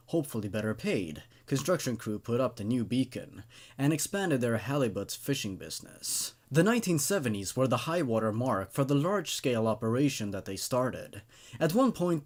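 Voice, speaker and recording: A man's voice: mid-range voice, darkish tone. A man hosting and reading stories aloud in a scripted narration style.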